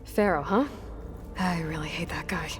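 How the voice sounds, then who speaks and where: A woman's voice: low-pitched, normal speed, natural voice. A woman playing a blunt young huntress. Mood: probing. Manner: direct, inquisitive. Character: determined young woman hunter, blunt and questioning. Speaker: video game protagonist. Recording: game cutscene with music, distant.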